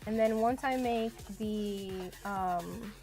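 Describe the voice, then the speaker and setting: A woman's voice: medium pitch, slow, over music. A woman streaming a video game, chatting casually and thinking aloud as she plays. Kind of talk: casual live-stream chat while playing.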